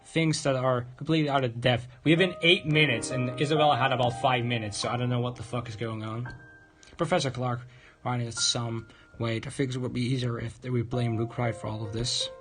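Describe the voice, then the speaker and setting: A male game streamer reads visual novel narration aloud at a middle pitch. He stumbles through the text in a halting way, with clear music playing behind him. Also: fairly even intonation. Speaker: game livestreamer.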